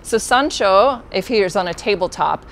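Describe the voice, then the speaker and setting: A woman with a fairly low voice, a puppeteer guest giving casual, friendly explanations with many fillers. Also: lively intonation, normal speed, slight background noise.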